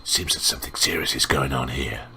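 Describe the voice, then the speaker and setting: A man voicing a discreet, mercenary male fixer in a visual novel, with a quiet, measured, businesslike delivery. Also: a bass voice, a little hiss or hum, pitched below the performer's own voice, exaggerated voice. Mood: cool, conspiratorial. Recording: voice over game music.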